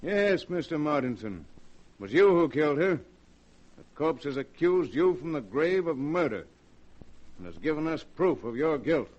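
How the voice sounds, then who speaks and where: An actor in a 1940s radio drama playing a shrewd county coroner with a formal, deliberate American delivery. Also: male voice, a little high, sing-song, a little mellow, dull audio. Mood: accusing, grave.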